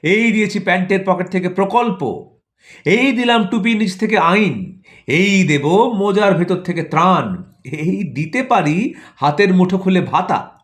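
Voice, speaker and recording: A man's voice: high-pitched, expressive, measured pace, voice pushed up. A man voicing a boastful ruler who performs as a stage magician in a satirical audio play, chanting mock spells like a showman. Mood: showy, boastful.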